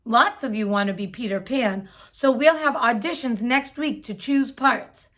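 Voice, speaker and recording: A woman's voice: fairly high pitch, unhurried, fairly bright tone. A woman reading aloud, voicing a kind, practical schoolteacher in a children's story.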